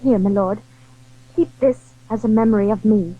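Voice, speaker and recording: A woman's voice: mid-range voice, normal speed, breathy edge, phone-quality sound, natural voice. A woman playing an anguished, majestic queen in an audio drama, speaking formally and pleadingly, torn between love and honor. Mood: sorrowful resolve. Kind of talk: theatrical audio drama acting.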